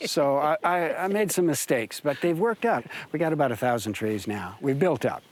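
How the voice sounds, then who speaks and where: A man's voice: a little high, lively intonation, moderate pace. An older man from Detroit with an American accent, a farmer and winemaker chatting casually and reflectively about his life. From Detroit, USA. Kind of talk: casual interview chat.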